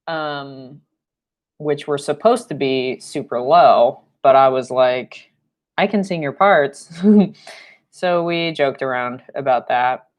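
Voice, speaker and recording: Young woman, low voice, slow and rambling, painting instructor talking through a home live stream. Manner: rambling and chatty, with fillers and playful sound effects. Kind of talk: live-stream painting lesson with chatty asides. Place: home studio room, indoors.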